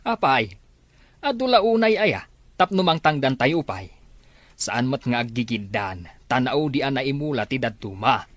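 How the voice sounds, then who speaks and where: A man's voice: mid-range voice, big pitch swings, moderate pace, a bit bright, no room sound. A middle-aged man with an Ilocano accent playing a stern, weary farmer father in an amateur family drama, scolding in exasperation. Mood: exasperated scolding.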